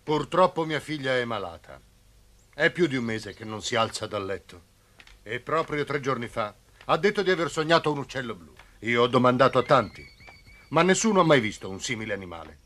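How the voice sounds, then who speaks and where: A blunt palace guard captain in a cartoon, forceful bright voice, mid pitch. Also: male voice, very animated, a little fast. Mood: sorrowful. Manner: authoritative, blunt. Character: big commanding captain of the palace guards, proud father. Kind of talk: animated cartoon dub acting.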